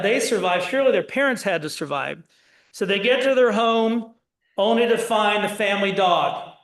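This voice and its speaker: A man's voice: high-pitched, fairly bright tone. Man, middle-aged, from Indiana, public talk, conversational storytelling, roomy hall sound. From Indiana, USA. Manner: conversational storytelling with short phrases. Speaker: speaker at a Holocaust education program. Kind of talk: public talk retelling family history. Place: synagogue hall. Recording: echoey hall.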